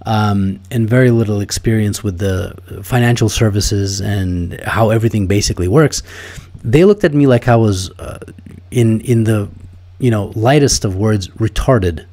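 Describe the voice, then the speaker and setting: Low-voiced man, opinionated interview guest. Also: normal speed, mellow. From Russia. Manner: thoughtful, opinionated, many fillers and restarts. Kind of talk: casual interview conversation.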